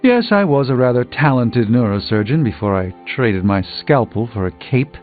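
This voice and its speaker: An actor playing a proud, erudite sorcerer hero in a video game, speaking in an articulate, theatrical and pompous way. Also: male voice, medium pitch, sing-song, moderate pace, close to an everyday voice. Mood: reflective. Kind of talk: video game character dialogue. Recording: phone-like band-limited sound under music. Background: music.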